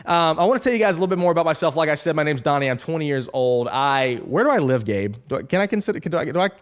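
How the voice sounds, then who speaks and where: A man's voice: fairly high pitch, animated, rapid-fire. A man in his twenties hosting a casual podcast intro, rambling and upbeat with lots of restarts. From Alabama. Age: 20s. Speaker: podcast host.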